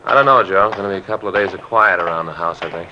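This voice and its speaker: An American actor playing a plain-spoken, courteous police officer in 1950s radio style, with casual wording. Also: male voice, sits low, racing, close to an everyday voice. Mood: weary, rueful. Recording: muffled, noisy old radio recording.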